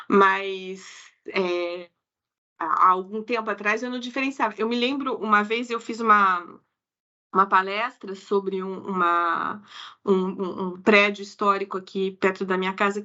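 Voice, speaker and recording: A woman's voice: medium pitch, sharp tone. A Brazilian woman in her forties with a Brazilian Portuguese accent, chatting as an interview guest and often restarting her sentences mid-thought. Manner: hesitant, frequent restarts and self-corrections. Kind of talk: casual interview chat. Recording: dull lo-fi remote audio.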